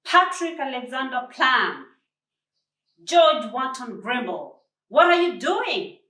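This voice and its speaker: A woman's voice: sits high, big pitch swings, slow pace, pushed and bright, pitched above the performer's own voice, heavily acted. A woman voicing a stern, motherly storybook character who scolds with commanding, emphatic authority. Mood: stern scolding. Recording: roomy echo, distant mic.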